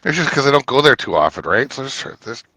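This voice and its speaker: A man's voice: mid-range voice, big pitch swings, fast talker, bright, forceful tone, slightly rough, no room sound, low-quality recording. A man co-hosting a wrestling talk podcast in a joking, playful casual chat. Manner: joking, playful, sings along. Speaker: podcast co-host.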